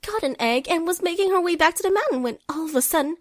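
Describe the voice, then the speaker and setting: Female narrator, high voice, wry, scripted storytelling, dry close recording. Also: lively intonation, fast talker, dry recording.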